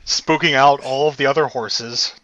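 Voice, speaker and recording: A man narrating a tabletop game as game master, in a relaxed, laid-back way with many fillers. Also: medium pitch, moderate pace, a little hiss or hum. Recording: dull lo-fi recording.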